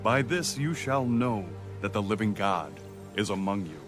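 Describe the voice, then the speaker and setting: A man playing a solemn, commanding leader of his people in a dramatized Bible, declaiming deliberately. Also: on the low side, animated, over music. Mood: solemn proclaiming. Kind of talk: dramatized audio Bible acting.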